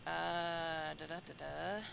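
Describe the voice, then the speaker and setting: A woman game streamer giving casual live horror game commentary in a halting, reactive way. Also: low-pitched, flat delivery, vocal fry. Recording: slightly dull audio, faint noise.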